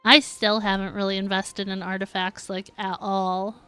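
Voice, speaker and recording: A woman's voice: mid-range voice, level tone, moderate pace, bright, forceful tone, clear, resonant tone. A woman chatting casually to viewers as she streams a game. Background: game music.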